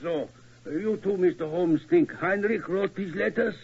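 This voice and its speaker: Casting: fussy castle guide, husky, dull old radio sound. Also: male voice, high voice, measured pace, a little mellow, exaggerated voice. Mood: formal. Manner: formal, inverted word order. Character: middle-aged male German tower keeper, fussy. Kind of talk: 1940s radio acting. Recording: muffled old radio recording.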